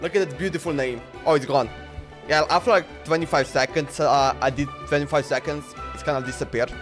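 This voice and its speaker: A man's voice: on the high side, brisk, music in the background. A man streaming a game, chatting and reacting casually in an informal, repetitive way. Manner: informal, repeats himself. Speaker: live streamer.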